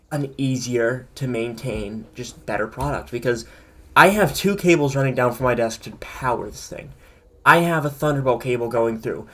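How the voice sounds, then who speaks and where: A man co-hosting a casual tech podcast, opinionated and rambling as he thinks aloud. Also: mid-range voice. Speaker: podcast co-host.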